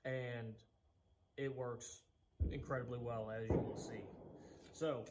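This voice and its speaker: A man's voice: mid-range voice, flat delivery, slow, very noisy. A man with a Boston accent giving a casual, chatty how-to demonstration in his home workshop, with loose folksy asides. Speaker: DIY woodworking channel host.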